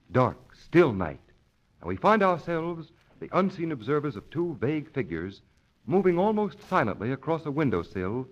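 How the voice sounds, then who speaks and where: A man performing as a solemn radio mystery announcer, with portentous, measured, suspenseful old-time narration. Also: mid-range voice. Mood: hushed suspense. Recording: dull lo-fi old radio recording.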